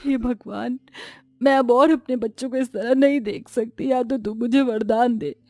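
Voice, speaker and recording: A woman's voice: a little high, steady tone, normal speed, darkish tone, no echo, close to an everyday voice. A woman voicing a cartoon ghost of a devoted mother, grieving and pleading. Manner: pleading, sorrowful.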